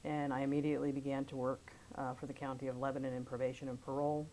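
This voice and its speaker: A woman in her fifties from Pennsylvania speaks with a strikingly low voice, recounting her past in a measured, careful way. A faint hiss sits under the recording. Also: flat delivery, warm tone, poor audio. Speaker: interviewee, attorney. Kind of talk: oral history interview.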